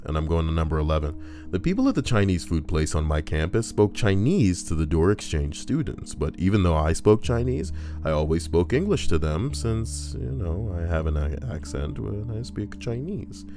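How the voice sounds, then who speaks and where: A man's voice: low-pitched, very animated, moderate pace, mellow, soft background music. A man casually reading forum posts aloud on a livestream, breaking in with crude, joking asides.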